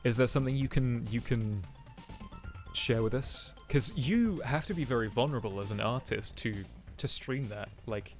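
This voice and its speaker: A man chatting as a guest on a stream, talking in a monotone, reflective way. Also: mid-range voice, moderate pace, faint music behind. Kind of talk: casual online chat.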